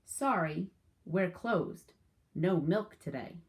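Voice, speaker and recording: A woman's voice: fairly low pitch, expressive, takes it slowly. A woman voicing polite but firm picture-book cows, with the formal cadence of a letter being read out.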